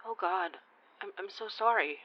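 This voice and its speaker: A woman's voice: mid-range voice, moderate pace, dull audio, close to an everyday voice. A woman playing a friendly, weary investigator of the supernatural, speaking in a casual, conversational, sometimes hesitant way. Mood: weary, sympathetic. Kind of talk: naturalistic audio drama acting.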